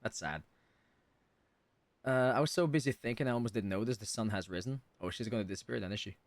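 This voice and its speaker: Fast-talking man streaming a game. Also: lowish voice. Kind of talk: casual live game commentary. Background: game music.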